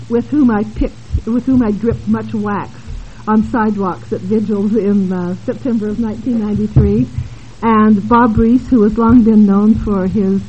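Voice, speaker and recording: A woman moderating a conference panel, introducing the speakers in a halting way with many fillers and asides, in a room with an audience. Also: medium pitch, warm tone. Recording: dull lo-fi conference tape with faint hiss.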